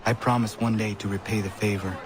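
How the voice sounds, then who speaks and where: An actor playing an earnest, blunt and stubborn young warrior in training in a video game cutscene. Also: male voice, fairly low pitch, steady tone, a little fast, crowd noise, lightly acted. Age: young adult.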